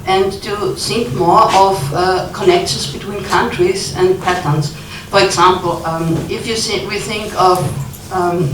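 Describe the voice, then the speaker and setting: Halting woman speaking from the audience. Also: mid-range voice. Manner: halting, earnest, many ums. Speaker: audience member. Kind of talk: conference Q&A comment. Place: conference hall. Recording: echoey hall, distant mic, faint noise.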